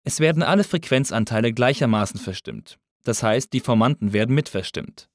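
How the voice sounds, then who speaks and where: A man reading a scripted tutorial as an instructor, in a measured, didactic manner. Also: medium pitch, expressive, dry recording, studio quality. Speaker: audio engineering instructor. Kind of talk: scripted lesson narration.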